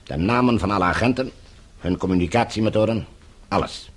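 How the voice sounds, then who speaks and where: An actor playing a falsely courteous, menacing captor and regime agent in a Dutch radio drama, speaking in measured, formal, condescending phrases. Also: male voice, fairly low pitch, breathy edge. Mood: cold, demanding.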